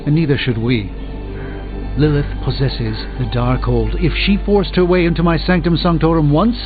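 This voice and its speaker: A man's voice: on the high side, normal speed, narrow, tinny audio, natural voice. An actor playing a proud, erudite, dryly witty male sorcerer in a video game, speaking in a formal, wordy way. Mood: grave, urgent. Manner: formal, wordy, self-important. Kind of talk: video game character dialogue. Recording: thin band-limited audio under loud music. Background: game music.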